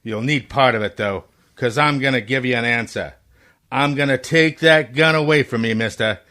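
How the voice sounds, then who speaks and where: A man's voice: mid-range voice, normal speed, pushed and bright, close to an everyday voice. An actor playing a tough, defiant male crook in an old-time radio drama, taunting and clipped. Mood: menacing. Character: tough, defiant male crook who won't be pushed around.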